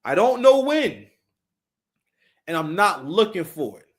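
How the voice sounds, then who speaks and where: A man in his thirties with a somewhat high-pitched voice hosts a casual live stream. He speaks off the cuff and emphatically, circling back over his phrases and swearing as he goes. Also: sing-song, a little slow. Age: 30s. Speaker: live stream host giving commentary. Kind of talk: casual, unscripted opinionated commentary.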